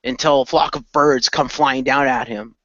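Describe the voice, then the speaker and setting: A man's voice: mid-range voice, steady tone, normal speed, fairly bright tone, no echo, highs cut off. A man narrating improvised action in the present tense for an amateur radio play.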